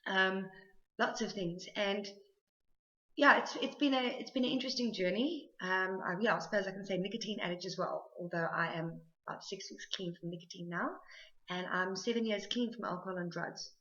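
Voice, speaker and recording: South African woman, casual vlogger, mid-pitched, chatty and unscripted, slightly muffled recording. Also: moderate pace, bright, forceful tone. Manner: chatty and rambling, many ums and restarts. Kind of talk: casual to-camera chat.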